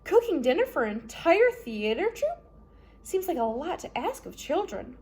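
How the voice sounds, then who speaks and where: A woman voicing a kindly, bookish female judge in a storybook, with a cheerful, polite and expressive manner. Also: high voice, moderate pace, voice pushed up. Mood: surprised, concerned. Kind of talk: storybook character voice.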